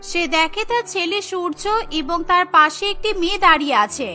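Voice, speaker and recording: Woman narrating a cartoon tale, really high bright voice, faint music behind. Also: brisk. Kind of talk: scripted cartoon story narration.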